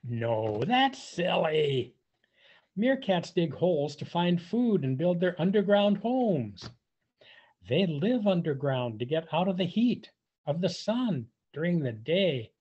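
An older man reading a picture book aloud to young children, with drawn-out emphasis and playful questioning. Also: on the high side, big pitch swings, a little mellow, slightly rough. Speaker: volunteer storybook reader for young children. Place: indoors, on a video call. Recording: dull, muffled webcam audio.

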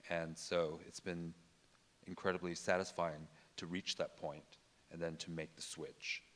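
A man's voice: low-pitched, level tone, unhurried, a bit bright. A middle-aged man, a designer on a stage panel, talking reflectively into a handheld mic with frequent fillers and restarts. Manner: hesitant, many fillers and restarts. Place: stage in a large auditorium. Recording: long reverb of a large hall.

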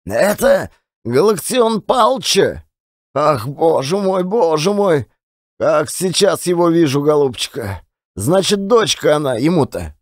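Man acting slow, sighing, tender old servant. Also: on the high side, big pitch swings, dry recording, studio quality. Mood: lamenting, fussing. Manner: folksy, sighing, repeating himself. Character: tender, lamenting old Dutchman, loyal servant.

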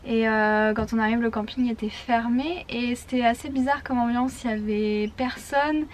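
A young woman talks to her camera from inside a parked camper van, her voice sitting a little high. She tells her story in a chatty, halting way, stopping often to search for words. Faint ambient noise hums behind her. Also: fairly even intonation. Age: young adult. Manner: chatty, hesitant with many fillers. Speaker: travel vlogger. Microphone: camera at arm's length.

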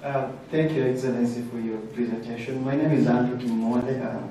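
A man's voice: mid-range voice, fairly even intonation, brisk, mellow. A man in his twenties with a Kenyan accent, a student politely and hesitantly putting a formal question from the floor. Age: 20s. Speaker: student audience member asking a question. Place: large crowded indoor lecture hall. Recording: very echoey hall, distant, faint noise.

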